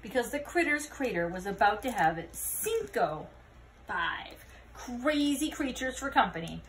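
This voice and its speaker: High-pitched, animated woman storyteller. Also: a little slow, slight background noise. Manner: expressive, asks young listeners questions. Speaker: read-aloud storyteller. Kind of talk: children's picture-book read-aloud with asides to listeners.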